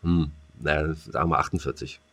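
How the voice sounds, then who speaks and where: A man's voice: a bass voice, fairly even intonation, a little fast, fairly bright tone. A man co-hosting a podcast in casual, slangy, rambling and jokey banter. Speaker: podcast co-host. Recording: music playing under the voice.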